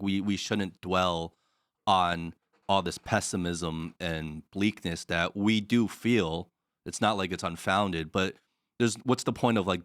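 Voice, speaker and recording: A man's voice: deep voice, fairly bright tone, clean tone, no room sound, studio quality. A man co-hosting a podcast in casual chat, speaking earnestly and filling his sentences with "like". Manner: earnest, heavy use of "like". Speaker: podcast co-host. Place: studio in Shanghai.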